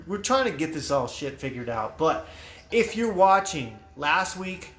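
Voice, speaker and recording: A man with a fairly high voice runs a casual live podcast, loose and chatty with the odd curse. His voice bounces around an echoey room, with a faint hiss under it. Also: a bit bright. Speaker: host. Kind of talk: casual livestream podcast chat.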